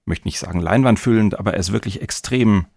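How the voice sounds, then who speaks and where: Male podcast host, low register with fry, conversational interviewer. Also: quick pace, darkish tone, no room sound, clean studio sound.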